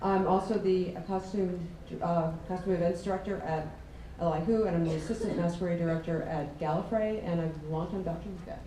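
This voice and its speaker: Woman, low mellow voice, matter-of-fact, echoey hall. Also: steady tone, fairly quick, slight background noise. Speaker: co-author and costume events director. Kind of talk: panel self-introduction. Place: large convention hall. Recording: echoey hall, far from mic.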